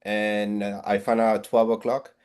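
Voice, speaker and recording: Puerto rican accent guy, 40s, kinda low voice, earnest motivational talk. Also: little pitch movement, normal speed, darkish tone, clean tone. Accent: Puerto Rican Spanish. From Puerto Rico. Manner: earnest, motivational, with frequent restarts. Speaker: real estate agent guest. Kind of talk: interview on video call.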